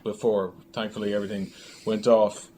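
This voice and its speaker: A man's voice: on the low side, little pitch movement, normal speed, warm tone. A man talking casually as an interview guest about his creative work, in a hesitant, rambling way. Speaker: guest, audio drama writer and director. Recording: noticeable background room noise.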